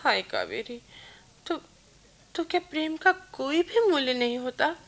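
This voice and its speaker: A young woman acting in a Hindi radio play as an excitable bride-to-be, chatting girlishly and dramatically with her best friend. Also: sits high, measured pace, gravelly, heavily acted. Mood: coy, wistful. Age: young adult.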